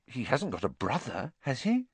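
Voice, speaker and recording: Male reader doing a teenage boy wizard, earnest, flustered, raised pitch, storybook. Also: huge pitch swings, normal speed, vocal fry, slightly rough, dry recording, full character voice. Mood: puzzled. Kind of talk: audiobook character voice.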